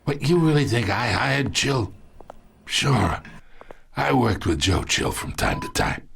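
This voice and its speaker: An actor playing an ageing, wounded, sly mob boss in a video game, with a gravelly voice and a taunting, falsely chummy drawl. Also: male voice, mid-range voice, very animated, takes it slowly, a bit bright, a little hiss or hum, full character voice. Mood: needling. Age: older. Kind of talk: dramatic video game acting.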